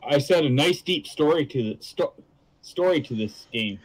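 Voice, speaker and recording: A man's voice: on the high side, lively intonation, moderate pace, poor audio. A man making casual side comments as a companion on a game stream. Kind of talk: casual chat.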